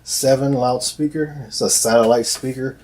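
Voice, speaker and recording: A man speaking casually and off the cuff as a loudspeaker maker presenting products, in a hesitant, repetitive, halting way. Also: mid-range voice, fairly even intonation. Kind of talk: casual unscripted product explainer. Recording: noticeable background noise, amateur video sound.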